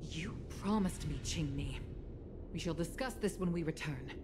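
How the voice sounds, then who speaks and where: A woman voicing a stern, dignified middle-aged mother and official in a fantasy video game, speaking formally and with measured authority. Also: low-pitched, animated, noisy background. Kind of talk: polished video game voice acting.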